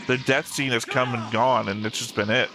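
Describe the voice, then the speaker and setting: A man's voice: mid-range voice, steady tone, normal speed, noisy background. A man giving casual, rambling reaction commentary as a show host, full of fan-expert asides. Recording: music playing behind the voice.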